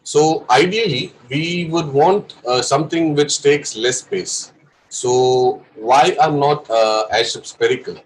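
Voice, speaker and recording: A man's voice: on the high side, slow pace, rough recording. Young Indian man lecturing with a cough. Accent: Indian English. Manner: careful explanation with frequent fillers. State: has a cough. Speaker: presenter of a course session. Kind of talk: technical lecture.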